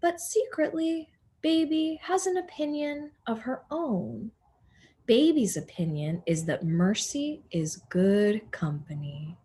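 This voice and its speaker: A woman reading a children's story aloud as a teacher, deliberate and expressive for young listeners. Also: on the high side, husky. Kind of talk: storybook read-aloud narration.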